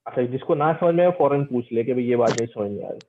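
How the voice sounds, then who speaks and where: A man with a medium-pitched voice teaches informally over a thin, phone-like connection. He explains step by step, repeating phrases and often checking that listeners understand. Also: quick pace, slight background noise. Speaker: teacher giving an online lecture. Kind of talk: informal interactive technical lecture. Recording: tinny phone-like call audio.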